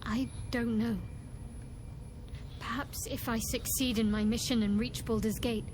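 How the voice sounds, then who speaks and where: An actress playing a guarded, devout cleric in a fantasy video game, speaking in a measured, confiding and solemn way. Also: female voice, on the high side, fairly even intonation, background noise, lightly acted. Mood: solemn, wistful. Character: guarded, devout female cleric companion, secretive and earnest.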